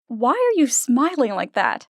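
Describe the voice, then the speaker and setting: A woman acts out a storybook princess with a slightly high voice. She sounds wary, skeptical and matter-of-fact in a comic drama, recorded very dry. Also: huge pitch swings, unhurried, dry recording, exaggerated voice. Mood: suspicious. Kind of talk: comic dub acting.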